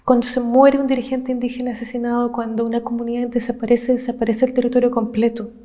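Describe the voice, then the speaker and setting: A woman from Chile, an activist speaking earnestly and with passion, laying out her points in measured, listed phrases. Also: a little high, level tone, dark tone. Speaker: social movement activist. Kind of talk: reflective interview talk.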